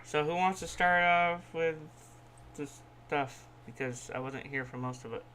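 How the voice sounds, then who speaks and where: A man's voice: a little high, unhurried, bright, forceful tone, a little hiss or hum. A man hosting a podcast in an informal, sweary style, often repeating himself as he talks off the cuff. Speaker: podcast host. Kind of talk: casual chat and unscripted sponsor reads.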